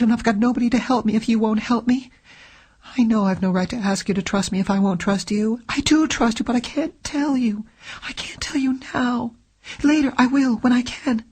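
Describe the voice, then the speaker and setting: A woman's voice: fairly high pitch, darkish tone, slightly rough, pitched above the performer's own voice. A woman reading an audiobook, voicing a frightened young woman who pleads for help, with a thin, tremulous, faltering voice that slips into whispers. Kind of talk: audiobook character voice.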